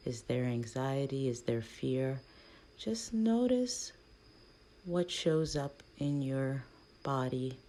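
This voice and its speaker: Slow, very low-voiced woman guiding meditation. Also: big pitch swings. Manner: gentle, hushed delivery with long pauses between short phrases. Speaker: guided meditation leader. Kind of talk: guided meditation, soothing instruction.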